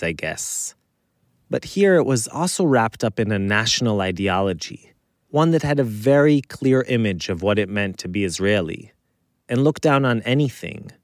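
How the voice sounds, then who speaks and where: A man narrating a documentary podcast in a measured storytelling manner. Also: fairly low pitch, dry recording, studio quality. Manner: measured storytelling with pauses for effect. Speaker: podcast host. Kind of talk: scripted narration.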